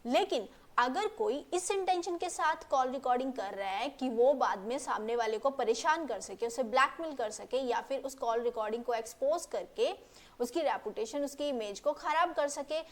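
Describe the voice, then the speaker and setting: A woman's voice: sits high, moderate pace. A woman presenting an informal explainer lesson, rephrasing points as she goes and mixing English legal terms into her speech.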